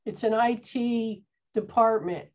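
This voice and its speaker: A woman's voice: on the high side, slow pace, a little mellow. A woman who heads a town parks department, answering questions at a meeting with some hesitant restarts, speaking from a home office on a video call. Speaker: parks and recreation staff head. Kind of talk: casual meeting discussion. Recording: dull video call audio.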